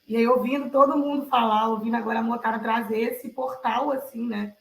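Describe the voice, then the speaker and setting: A woman's voice: on the high side, fairly even intonation, fairly quick. A woman, sharing reflections casually on a video call. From Minas Gerais, Brazil. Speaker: participant in an online cultural gathering. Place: online video call, roomy indoor space. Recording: roomy echo on a video call.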